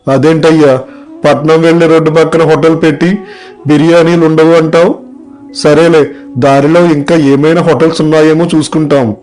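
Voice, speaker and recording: Man acting plain-spoken village fellow, direct, story dialogue, higher pitch, faint music. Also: flat delivery, normal speed, darkish tone, natural voice, low-quality recording. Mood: demanding. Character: plain-spoken village men, eatery customers and boss. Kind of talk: animated moral story dialogue.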